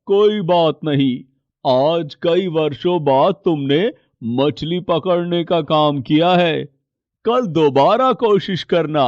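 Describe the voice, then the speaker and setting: Male VO: tired aging cartoon fisherman, soft-spoken, unhurried. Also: a little high, no room sound, highs cut off. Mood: encouraging. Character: aging poor male fisherman, weary and gentle. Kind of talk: cartoon story voice acting.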